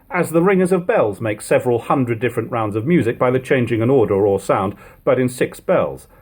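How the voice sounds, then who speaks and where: A male narrator reads an old chronicle in a slightly rough, husky voice of middling pitch. His intonation swings widely, lifting and dropping through long literary sentences. The delivery is measured and emphatic, as in a dramatic audiobook. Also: a little mellow. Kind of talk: dramatic reading of an old first-person chronicle.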